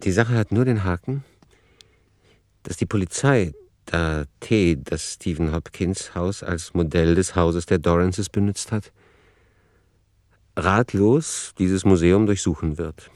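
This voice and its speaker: A man playing a reflective author who narrates a literary radio play in a deliberate, ponderous manner. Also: low voice, a little mellow, no room sound, clean studio sound. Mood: measured, ironic. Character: reflective male author musing over his novel.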